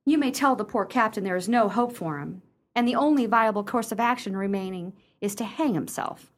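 Woman playing an arch, genteel lady, mid-pitched. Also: expressive, fairly quick, lightly acted. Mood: formal, arch. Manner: formal, arch. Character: sceptical, genteel lady, loyal sister with arch wit. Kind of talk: radio play acting.

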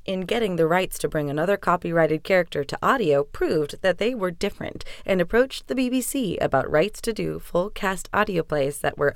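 A woman's voice: on the low side, gravelly, no echo, studio quality. A woman hosting a podcast, reading scripted narration. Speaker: podcast host.

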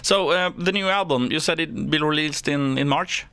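A man from Sweden conducts a radio interview, friendly and brief. His voice is bright and smooth, and it is recorded close and dry, with no room sound. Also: a little high, normal speed, no room sound.

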